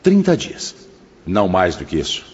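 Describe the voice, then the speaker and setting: Casting: male actor as venerable spirit teacher, low voice with sweeping intonation, formal, exhorting, audiobook drama. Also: wildly swooping pitch, darkish tone, slightly rough, heavily acted. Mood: solemn, instructive. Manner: formal, measured, preaching. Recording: dull, reverberant hall sound with music behind.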